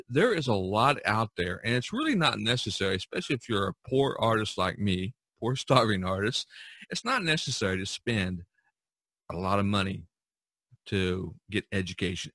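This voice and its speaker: Husky, bright-voiced man hosting. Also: fairly low pitch, dry recording. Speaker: podcast host. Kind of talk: conversational podcast hosting.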